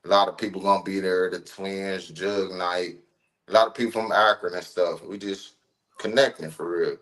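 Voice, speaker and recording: A man's voice: low voice, steady tone, moderate pace, fairly bright tone, poor audio. A man in his thirties from Ohio, a rapper chatting casually as a podcast guest in a laid-back, slangy, rambling way with many fillers. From Ohio, USA. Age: 30s.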